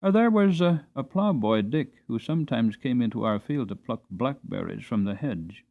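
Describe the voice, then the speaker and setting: Expressive male storybook narrator, lively pitch. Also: narrow, tinny audio. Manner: deliberate, expressive phrasing. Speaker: audiobook narrator.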